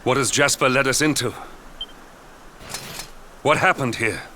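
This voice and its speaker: An actor playing a stern, indignant knight in a video game cutscene. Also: male voice, medium pitch, measured pace, background noise, lightly acted. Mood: alarmed.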